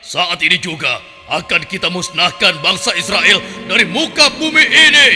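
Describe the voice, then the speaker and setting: A high, harsh, arrogant warlord king. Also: normal speed, pushed and bright, big-room sound, soft background music. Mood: menacing. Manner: haughty, contemptuous, commanding. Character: arrogant, cruel warlord king who scorns his enemies. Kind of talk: Indonesian cartoon voice acting.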